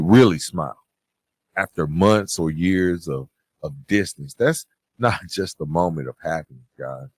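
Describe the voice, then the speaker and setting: A man hosting a solo podcast, giving advice and encouragement in an earnest, deliberate way with emphatic phrasing. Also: sits low, a little mellow, no room sound. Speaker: podcast host.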